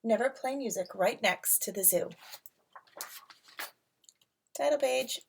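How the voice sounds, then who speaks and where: A woman's voice: on the high side, takes it slowly, creaky, a little hiss or hum. Woman reading a storybook aloud expressively. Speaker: teacher reading a picture book aloud to children. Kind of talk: expressive storybook read-aloud with casual asides. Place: at home.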